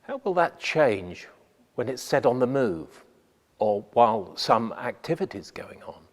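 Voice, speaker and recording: A man giving scripted instructional narration as a radio playwright, measured and deliberate with emphatic phrasing. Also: mid-range voice, very animated, long reverb tail, very noisy.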